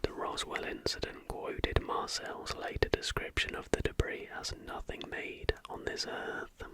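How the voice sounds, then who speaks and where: An ASMR host narrating in a breathy, unvoiced whisper with a hushed, measured delivery. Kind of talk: ASMR reading of conspiracy history, scripted narration with brief asides.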